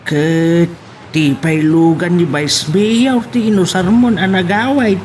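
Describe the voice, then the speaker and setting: A man's voice: high voice, steady tone, slow pace, darkish tone, a little hiss or hum. A man with an Ilocano accent playing a nosy, teasing older uncle in a radio drama. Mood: prying.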